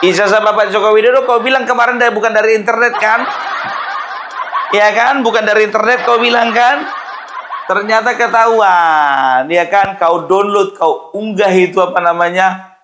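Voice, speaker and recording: A man's voice: high voice, steady tone, normal speed, background noise. A man giving informal political commentary, scoffing and firing off mocking rhetorical questions.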